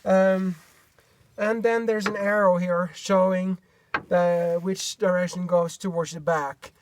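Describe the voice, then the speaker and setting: A Norwegian man with a Norwegian accent, showing a hitch step by step in a halting way, full of fillers, recorded outdoors on a camera mic. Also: high-pitched, fairly even intonation, dry recording. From Norway. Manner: halting, searching for words, many fillers. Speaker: DIY vlogger. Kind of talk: hands-on how-to demonstration. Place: outdoors by a pickup truck bed.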